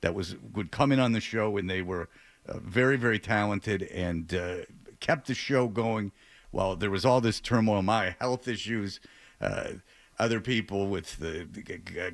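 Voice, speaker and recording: A man hosting a radio talk show, speaking off the cuff in a halting way with stammers and repeated words. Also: lowish voice, no room sound. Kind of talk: unscripted radio talk show monologue and interview. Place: radio studio.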